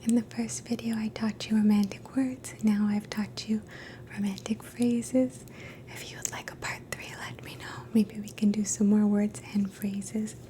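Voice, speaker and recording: A woman in a soft, gentle, mostly unvoiced whisper, slowly teaching phrases in a relaxing language lesson and repeating each one. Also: medium pitch, little pitch movement. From Canada. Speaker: ASMR host teaching phrases.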